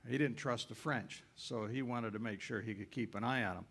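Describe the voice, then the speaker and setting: A man's voice: mid-range voice, fairly quick, a bit bright. A man giving an informal travel lecture on a cruise ship, folksy and rambling, with frequent uhs and self-corrections.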